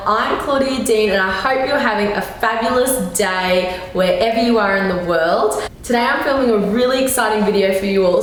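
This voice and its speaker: Young Australian woman, ballet coach, a touch raspy, encouraging and instructive; very roomy echo. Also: mid-range voice. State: slightly raspy voice. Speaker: ballet teacher. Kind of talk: tutorial talking to camera. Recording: very echoey room, faint background noise.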